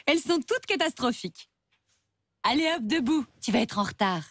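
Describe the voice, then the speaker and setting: A woman voicing a brisk, reassuring, amused mother in a French cartoon, with a motherly manner. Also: high-pitched, lively intonation, background noise. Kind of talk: French cartoon dub acting.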